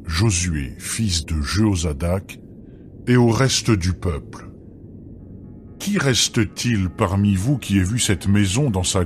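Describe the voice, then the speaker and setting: A man giving a solemn, deliberate, dramatised reading of scripture as narrator. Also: fairly low pitch. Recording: music behind the voice. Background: background music.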